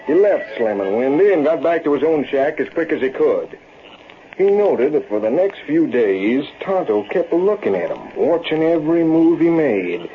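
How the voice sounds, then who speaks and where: An actor playing a folksy cowboy storyteller with a rural Western American accent, narrating a yarn in old-time radio style. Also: male voice, medium pitch, lightly acted. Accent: rural American West. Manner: folksy, animated yarn-spinning. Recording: muffled, noisy vintage radio recording.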